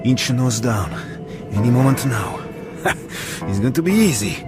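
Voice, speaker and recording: An actor playing a greedy, gloating Italian pilot turned hijacker in a radio drama, talking in clipped phrases as if to himself. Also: male voice, medium pitch, expressive, measured pace, lightly acted. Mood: cool, focused. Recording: loud music and aircraft engine behind.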